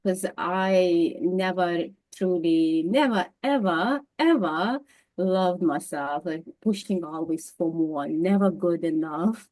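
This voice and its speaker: A woman's voice: lowish voice, slow, darkish tone, low-quality recording. A woman with a Lithuanian accent, a nutritional therapist speaking as the guest in a casual interview over a video call, laughing often and repeating words. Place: home in Dublin, video call.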